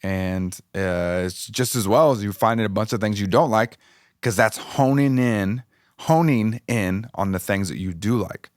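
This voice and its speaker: A middle-aged American man with a slightly raspy voice hosting a motivational podcast, speaking informally and encouragingly, repeating phrases for emphasis. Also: on the low side, measured pace, no room sound, clean studio sound. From United States, California. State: slightly raspy voice from a passing illness. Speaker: podcast host.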